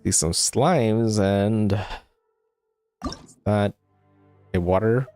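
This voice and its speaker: A man's voice: fairly low pitch, very slow, dark tone, clean tone, no room sound. A man livestreaming a video game, giving casual, rambling commentary as he thinks aloud. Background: game music.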